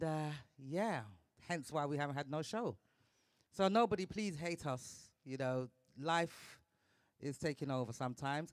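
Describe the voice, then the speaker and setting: A man hosting a casual live talk show, upbeat and slangy, giving shout-outs to listeners and DJs. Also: fairly high pitch, dry recording, studio quality. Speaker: podcast host.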